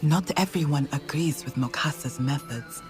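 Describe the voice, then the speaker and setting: A woman voicing an earnest, quietly rebellious clanswoman. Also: deep voice, moderate pace, soft background music, lightly acted. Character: earnest young female clan member, quietly rebellious.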